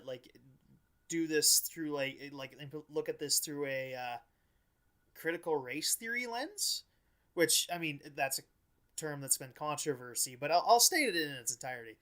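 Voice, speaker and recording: A man with a slightly high voice talks as a podcast co-host. His delivery is informal and analytical but choppy, as he hesitates and restarts sentences while working out his point. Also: sharp tone. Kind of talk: casual analytical discussion.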